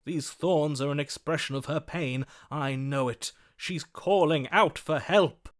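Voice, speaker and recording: An actor playing a lovestruck, gallant romantic hero in a video game, speaking in a florid, swooning, melodramatic way. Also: male voice, on the high side, a little slow, natural voice. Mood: grand, eager. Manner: florid, swooning, dramatic delivery. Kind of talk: theatrical video game voice acting.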